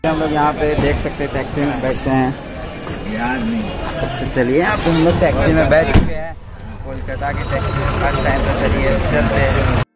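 A man's voice: medium pitch, steady tone, moderate pace, pauses often, over loud music. A young man chatting to viewers in a casual travel vlog, filmed on a handheld phone. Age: young adult. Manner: chatty, addresses viewers as guys. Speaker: vlogger. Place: streets, hotel room and taxi in a city. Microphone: phone camera held at arm's length. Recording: loud traffic noise and crowd.

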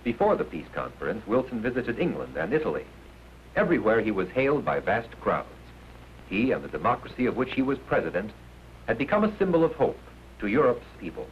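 A man giving formal, measured, emphatic scripted narration in the manner of a 1950s educational film. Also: on the low side, big pitch swings. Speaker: documentary narrator. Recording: dull, noisy 1950s film soundtrack.

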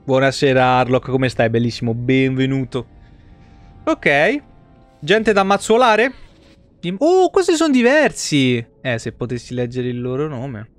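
A young man doing casual, animated live game commentary in slangy language with frequent swearing. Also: a little high, no echo. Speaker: game streamer.

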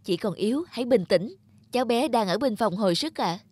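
A woman acting a calm, reassuring young hospital nurse in an animation, formal in manner. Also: fairly high pitch, brisk, breathy edge, dry recording, studio quality. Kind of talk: animated moral-tale dubbing.

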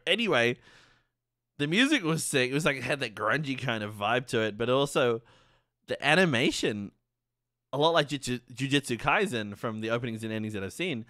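A man's voice: mid-range voice, no room sound, clean studio sound. A young man streaming a reaction, talking casually, exclaiming and laughing a lot as he comments on what he sees. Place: dim home streaming room with colored lights. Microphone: headset. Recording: loud music under the voice. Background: anime music playing.

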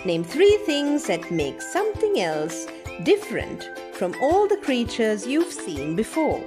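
Very animated, high-pitched woman storyteller. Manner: deliberate, very expressive, teacherly. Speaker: children's storyteller. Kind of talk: scripted children's read-aloud and questions. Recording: music behind. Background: music.

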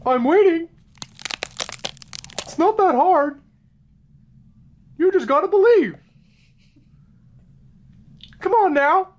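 Man as a high, pushy unicorn puppet. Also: expressive, slow pace, pauses often, highs cut off, exaggerated voice. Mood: eager, goading. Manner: goading, exaggerated. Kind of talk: improvised absurd puppet comedy.

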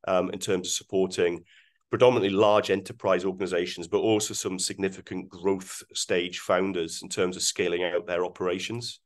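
A Scottish man in his forties presenting on a video call, speaking with many ums and restarts. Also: low-pitched. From Scotland. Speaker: webinar guest speaker. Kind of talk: presenting slides in a webinar.

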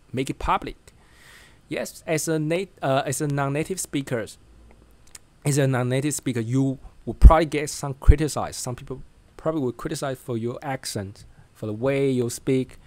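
This is a man in his thirties with a Chinese accent who talks at a middling pitch into a studio mic set close to him. His English is casual and halting, full of fillers and restarts. He sounds like he is practicing as he goes. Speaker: vlogger. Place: small bright room indoors. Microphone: studio mic close in front.

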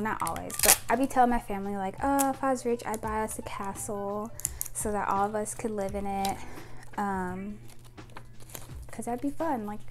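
A young woman with a soft voice chatting casually to camera in her bedroom, rambling with giggly asides, on a clip-on mic. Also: mid-range voice. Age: young adult. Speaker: vlogger. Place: bedroom, indoors. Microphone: clip-on mic after the first part.

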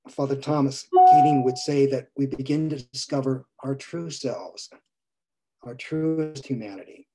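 A priest in his sixties preaching a gentle sermon from a home study over a video call, his phrases trailing off softly. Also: mid-range voice, husky, rough recording. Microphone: video call webcam.

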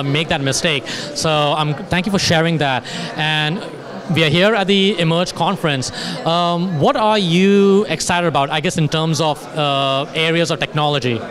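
A man in his forties hosting a conference interview, hyped up and stammering through repeated words, speaking into a handheld mic. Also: a little high. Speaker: host. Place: conference hall, in front of a banner wall. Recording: busy conference crowd behind. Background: crowd chatter.

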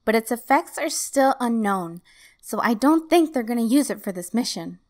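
A woman's voice: on the high side, animated, normal speed, darkish tone, lightly acted. A woman voicing a headstrong, eager young female rebel in a video game fan dub.

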